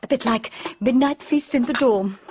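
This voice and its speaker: A woman's voice: on the high side, close to an everyday voice. Actress as a forthright young woman, noisy recording. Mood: friendly, probing. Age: young adult. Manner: brisk, probing, outspoken. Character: forthright, independent young woman, urging and sympathetic. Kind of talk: radio drama acting.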